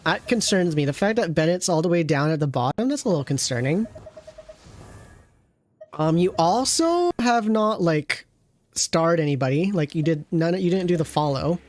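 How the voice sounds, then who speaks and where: A streamer giving casual, rambling game commentary, teasing and chatting with viewers, in a middle-pitched voice. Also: lively intonation, moderate pace.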